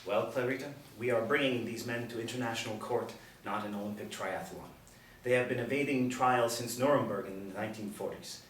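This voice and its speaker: Man reading firm lieutenant, low and roomy. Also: brisk, natural voice. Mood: resolute. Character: resolute male military lieutenant hunting old Nazis. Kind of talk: scripted table reading. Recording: roomy, distant mic, light room noise.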